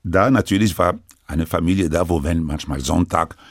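Older man with a deep gravelly voice. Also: no echo. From Lebanon. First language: French. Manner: halting, with many restarts and broken grammar. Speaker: interviewee. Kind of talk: recounting his life story.

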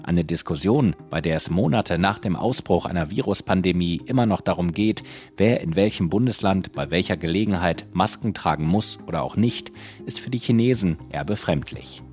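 A man delivering a scripted radio report as a correspondent, even and factual. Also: sits low, normal speed, hardly any pauses, soft background music.

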